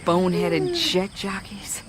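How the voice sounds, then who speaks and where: A woman acting in a radio drama as a brisk, sharp-tongued American geologist instructing trainees. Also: lowish voice, very animated, crowd noise. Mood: exasperated.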